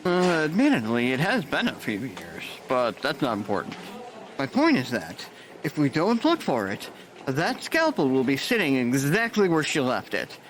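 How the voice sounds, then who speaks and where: An actor playing a cynical, crude-talking hospital worker in an audio drama. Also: male voice, mid-range voice, moderate pace, a little hiss or hum, poor audio. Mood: sardonic. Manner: sarcastic, crude, animated.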